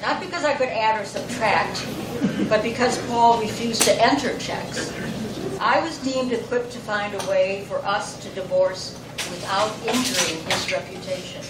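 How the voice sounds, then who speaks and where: A woman's voice: mid-range voice, moderate pace. An older woman telling a personal story to a live audience with wry, self-mocking humor. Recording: roomy, distant, noisy live recording.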